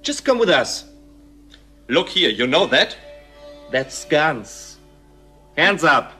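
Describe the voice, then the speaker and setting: A man's voice: a little high, very animated, slow, sharp tone, soft background music, full character voice. An actor playing an impatient, menacing gunman who barks short orders in English in a radio play. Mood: threatening.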